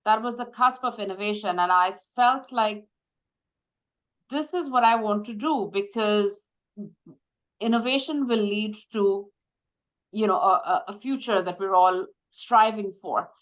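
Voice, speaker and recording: A woman's voice: mid-range voice, fairly bright tone, rough recording. Reflective woman from India. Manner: reflective, measured, with frequent fillers. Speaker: guest, medical device executive. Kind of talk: conversational interview.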